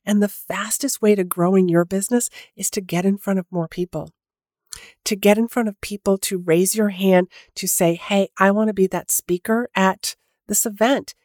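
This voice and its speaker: A woman's voice: medium pitch, darkish tone, dry recording, studio quality. Nasal, stuffy-voiced woman coaching listeners. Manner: casual, encouraging, many filler "like"s. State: nasal from a head cold. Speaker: podcast host and coach. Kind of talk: solo podcast monologue.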